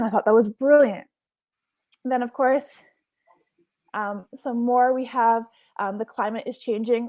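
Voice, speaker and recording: A young woman from Hawaii talks through a casual online workshop as a marine scientist. She is chatty and enthusiastic, and her fairly high voice sounds close and dry, with no room around it. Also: a little mellow, no room sound. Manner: chatty, enthusiastic, laughs often.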